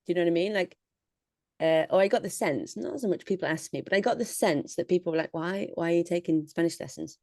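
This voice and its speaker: Low-voiced British woman chatting. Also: expressive, quick pace, darkish tone, dry recording, studio quality. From United Kingdom. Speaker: podcast co-host. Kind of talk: casual chat.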